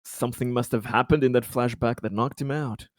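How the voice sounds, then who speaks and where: A man's voice: medium pitch, steady tone, fairly quick, no echo, clean studio sound. A man playing a tabletop game, making casual, wry jokes and banter. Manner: joking, wry.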